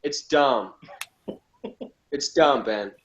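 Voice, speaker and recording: A man's voice: mid-range voice, drawn-out words, a bit bright, highs cut off. A man giving blunt, dismissive criticism in a casual panel review chat. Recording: echoey, roomy sound.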